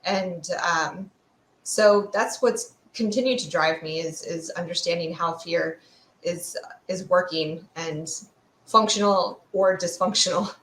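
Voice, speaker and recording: A woman's voice: lowish voice, slow, fairly bright tone. A woman speaking as an expert guest in an interview, explaining science conversationally and thoughtfully, searching for her words. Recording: roomy, echoey room sound.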